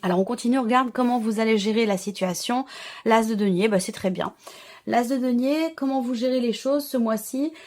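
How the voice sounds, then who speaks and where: A woman's voice: on the high side, a little fast, a little mellow. A woman giving an informal tarot reading to viewers, explaining in a reassuring way while searching for words and repeating herself. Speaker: tarot reader and channel host.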